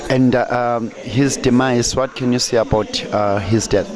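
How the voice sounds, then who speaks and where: A man interviewing a guest for the news, with many pauses and fillers. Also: medium pitch, steady tone. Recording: crowd noise behind.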